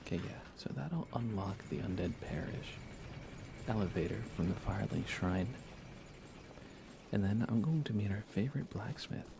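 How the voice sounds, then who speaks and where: A man doing relaxed live ASMR game commentary, chatting softly and unhurriedly with his viewers. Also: lowish voice, normal speed, creaky, noisy background.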